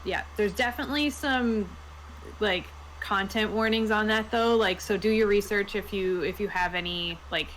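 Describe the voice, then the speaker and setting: A woman's voice: mid-range voice, moderate pace, a bit bright, a little hiss or hum, poor audio. A woman chatting casually as co-host of a discussion about roleplaying games. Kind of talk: casual chat.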